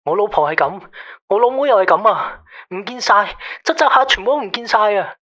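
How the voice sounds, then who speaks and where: An actor playing a controlling, aggrieved husband who rambles repetitively as a late-night caller in a radio drama. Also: male voice, sits high, animated, brisk, sharp tone, muffled recording. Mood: bitter, obsessive. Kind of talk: Cantonese radio drama acting.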